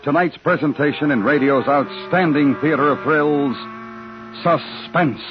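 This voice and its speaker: Male radio announcer over loud dramatic music. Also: fairly high pitch, unhurried. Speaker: programme announcer. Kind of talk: formal 1950s radio show introduction. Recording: dull 1950s radio recording, loud music.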